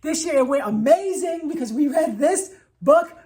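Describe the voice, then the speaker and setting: A woman's voice: high-pitched, lively intonation, moderate pace, mellow. A woman who teaches elementary school, giving casual, enthusiastic and emphatic book recommendations, repeating phrases for effect. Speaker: elementary school teacher reviewing picture books.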